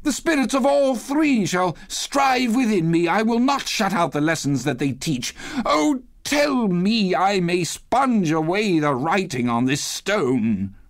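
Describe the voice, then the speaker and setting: A man's voice: high-pitched, very animated, takes it slowly, no room sound, clean studio sound, pitched above the performer's own voice, full character voice. A man voicing a reborn old miser, effusive and exclamatory, in a theatrical storybook reading. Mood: desperate pleading. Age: older. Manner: exclamatory, effusive, repeats himself.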